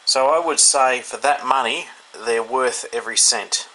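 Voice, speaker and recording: Bright-voiced Australian man reviewing gear casually. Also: medium pitch, measured pace, low-quality recording. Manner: informal, hesitant, thinking aloud while demonstrating. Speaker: hobbyist radio reviewer. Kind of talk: casual unscripted product review. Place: indoors, in a room. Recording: clear background noise, indoor home recording.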